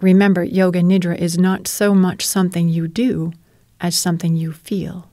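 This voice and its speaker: A woman leading a guided relaxation as a meditation teacher, speaking in a calm, soothing and measured way. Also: fairly low pitch, dry recording, studio quality. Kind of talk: guided relaxation narration, yoga nidra.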